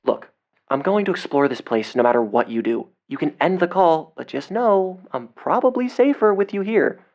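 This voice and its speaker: An actor playing a cocky, defensive seventeen-year-old amateur explorer in an audio drama, talking casually and bristling when challenged. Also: male voice, on the high side, lively intonation, normal speed, a little mellow. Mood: coaxing, cocky. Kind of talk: audio drama phone dialogue.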